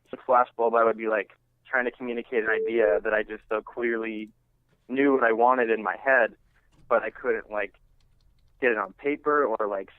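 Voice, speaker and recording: A man being interviewed as a musician, chatting casually and haltingly, often saying "like" and "you know". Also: mid-range voice, dry recording. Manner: halting and conversational, heavy use of "like" and "you know". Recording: thin phone-line sound.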